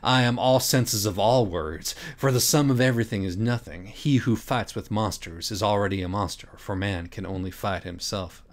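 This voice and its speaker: A man's voice: on the low side, moderate pace, hardly any pauses, natural voice. A man voicing a timeless abyss in a storybook, solemn, grandiose and menacing. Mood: ominous, grandiose.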